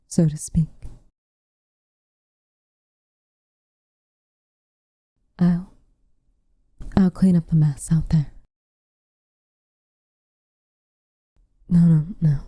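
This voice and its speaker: A woman playing a tender, protective witch with a dry teasing streak in an intimate ASMR roleplay, speaking softly and breathily, close to the mic. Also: sits low, no room sound, heavily acted. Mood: gentle, hesitant. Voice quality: soft, breathy, near-whispered. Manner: gentle, measured, quietly teasing. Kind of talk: intimate ASMR roleplay, scripted character acting. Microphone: close studio mic, dry.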